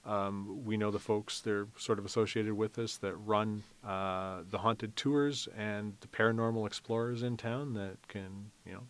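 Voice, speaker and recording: A man's voice: lowish voice, fairly even intonation, a little slow, few pauses, clean tone. A man chatting casually as an interview guest, rambling a little as he explains. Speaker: festival organizer, interview guest.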